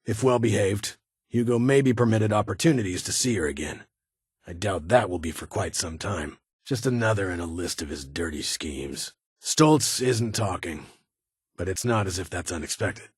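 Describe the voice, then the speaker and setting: An actor playing a stern, guarded military security leader in a video game, speaking formally in clipped, commanding phrases. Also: male voice, low-pitched, expressive, moderate pace, breathy edge, dry recording, studio quality, full character voice. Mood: grim, measured. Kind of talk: video game character dialogue.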